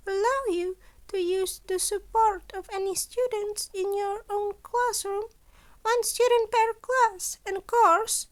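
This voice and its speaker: A small, cunning rodent principal from an audio story, acted out by a woman, speaks in a very high voice. He is courteous and formal, stressing his words firmly as he sets out exacting terms. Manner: formal, measured, emphatic phrasing.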